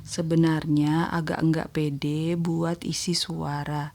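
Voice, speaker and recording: A woman with a congested, nasal voice, gently narrating a casual voice-over vlog about her day to her viewers. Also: sits low, level tone, slow pace, vocal fry. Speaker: homemaker vlogger narrating own daily routine. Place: voice-over recorded separately at home.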